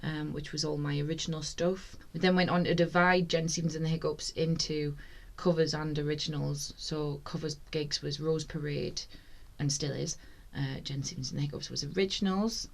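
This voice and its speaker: A woman from North East England with a low, husky voice, chatting easily as a singer-songwriter guest in a podcast studio. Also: steady tone, normal speed, hardly any pauses. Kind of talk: casual interview chat.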